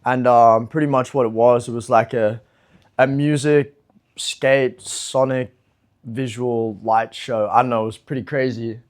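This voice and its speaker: A man's voice: mid-range voice, steady tone, unhurried. A man, talking casually in an interview in a laid-back, rambling way with lots of fillers. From New Zealand. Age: 20s. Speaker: interviewed pro skateboarder.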